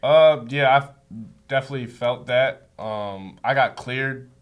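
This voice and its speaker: A man's voice: mid-range voice, unhurried, smooth. A young man who plays college basketball, answering interview questions in an easygoing way that is full of "like". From United States. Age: young adult. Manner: easygoing, says like a lot. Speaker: student athlete guest.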